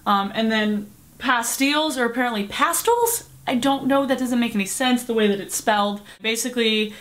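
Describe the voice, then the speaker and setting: A woman's voice: on the high side, normal speed, fairly bright tone. Chatty young woman vlogging at home. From Pacific Northwest, Seattle area. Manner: chatty, rambling, with fillers. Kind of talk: casual chat to camera. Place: small cluttered bedroom, indoors. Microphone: camera at close range.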